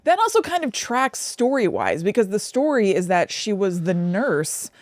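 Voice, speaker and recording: A woman's voice: medium pitch, wildly swooping pitch, normal speed, a little mellow, dry recording, clean studio sound. A woman co-hosting a podcast, chatting casually about film in a conversational way, with plenty of "like" and asides. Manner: conversational, frequent "like" and hedging asides. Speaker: podcast co-host.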